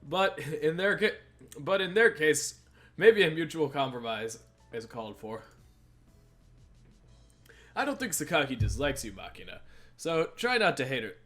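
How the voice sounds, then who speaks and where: A man's voice: on the high side, big pitch swings, unhurried, long pauses, a bit bright, faint music behind. A man hosting a let's play, reading a visual novel's narration aloud with joking banter.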